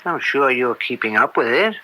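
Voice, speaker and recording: An actor playing an upright, principled judge and father in old-time radio drama, measured and earnest. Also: mid-range voice, expressive, sharp tone, poor audio. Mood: curt, cold.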